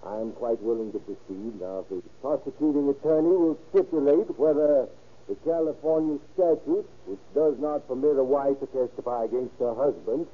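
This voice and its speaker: A man's voice: medium pitch, normal speed, lightly acted. An actor playing a scheming, formal and insistent male defense attorney in an old-time radio crime drama. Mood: combative, assured. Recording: tinny, noisy old radio recording.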